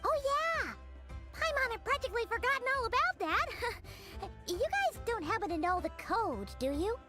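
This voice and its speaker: Tiny floating girl companion, shrill, excitable, piercing, lively. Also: faint music behind, full character voice. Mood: chirpy. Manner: shrill, excitable, quick to protest. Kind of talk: video game cutscene voice acting.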